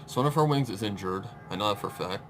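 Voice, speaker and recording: A man's voice: on the low side, expressive, fast. A man giving casual live reactions in short muttered asides, as host of a reaction video. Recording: loud music and narration behind.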